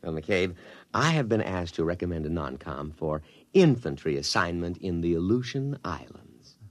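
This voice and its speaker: An actor playing a pompous, ambitious army colonel in a 1950s radio drama, speaking with clipped military formality. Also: male voice, low voice, lively intonation, moderate pace. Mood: smug. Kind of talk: 1950s radio drama acting and first-person narration. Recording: vintage 1950s radio broadcast with music.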